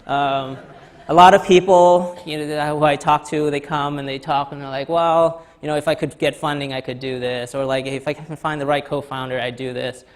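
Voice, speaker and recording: A man from Thailand in his thirties giving a conference talk in a lecture hall, halting with many fillers and restarts. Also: fairly high pitch, steady tone, smooth. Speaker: guest speaker.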